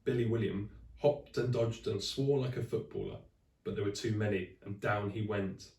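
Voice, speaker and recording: A man reading a children's story aloud in expressive narration, like a teacher to a class. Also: fairly low pitch, normal speed, distant mic. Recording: echoey room, away from the mic.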